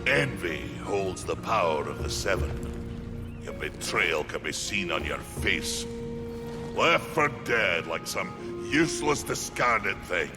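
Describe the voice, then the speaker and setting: Steadfast gruff ally, video game acting, deep, unhurried, rousing, long reverb, loud music. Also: male voice, expressive. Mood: stern resolve.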